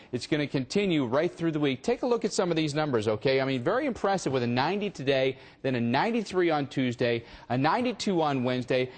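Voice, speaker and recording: Higher-voiced American TV weatherman, emphatic. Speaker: weather forecaster. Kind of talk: live TV weather report.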